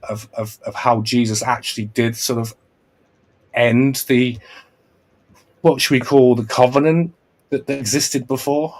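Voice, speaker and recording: This is a man holding forth on a casual podcast, earnest and lecturing. His voice sits at an ordinary middle pitch, and he often restarts his phrases and tags them with "right?" as he goes. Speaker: podcast host.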